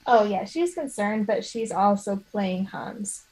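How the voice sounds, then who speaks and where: A woman's voice: mid-range voice, expressive, a little slow, a little mellow, slightly rough, rough recording. A woman playing a tabletop game, chatting and improvising casually in a hesitant, apologetic way. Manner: hesitant, apologetic, many trailing restarts. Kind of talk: casual chat and improvised role-play.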